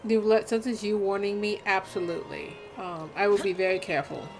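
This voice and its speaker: A woman streaming a video game and chatting casually over faint music. Her mid-pitched voice delivers wry, sassy asides in reaction to the game. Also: fairly even intonation, fairly quick, low-quality recording. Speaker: livestreamer. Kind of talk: casual gameplay reaction commentary.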